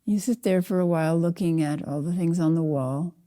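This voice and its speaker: Older female meditation guide, low and very mellow, measured phrasing with deliberate pauses. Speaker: Zen teacher leading a guided meditation. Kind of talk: slow guided meditation narration.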